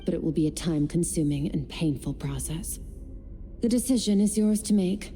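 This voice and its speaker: Woman playing a stern ancient swordswoman, low dark voice, slow solemn delivery, game dub, faint music. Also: lightly acted. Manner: measured, solemn, reflective. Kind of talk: English game dub acting.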